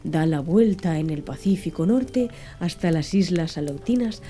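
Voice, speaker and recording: A woman narrating an audiobook in a soft, measured ASMR style. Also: on the low side, expressive. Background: faint music.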